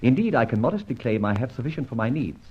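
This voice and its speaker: An actor playing a masked, mocking yet courteous gentleman abductor in an old-time radio detective drama, with a theatrical, urbane, teasing delivery. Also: medium pitch, sing-song, fast talker, slightly rough, muffled recording.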